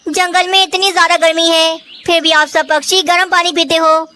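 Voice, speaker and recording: A woman's voice: extremely high pitch, little pitch movement, moderate pace, sharp tone, a little hiss or hum. A woman voicing a scheming, falsely kind talking bird in a children's cartoon, with exaggerated delivery. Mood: sweetly persuasive. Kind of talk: Hindi children's cartoon dialogue.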